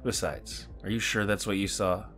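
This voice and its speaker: A man playing a calm, dismissive college resident adviser with a gravelly voice in a horror audio story. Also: lowish voice, moderate pace, soft background music, close to an everyday voice. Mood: soothing, dismissive.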